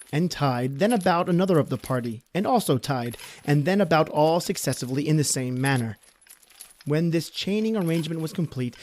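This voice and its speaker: A man giving a scripted gothic horror narration in a measured, formal storytelling manner. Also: a little high, a little mellow.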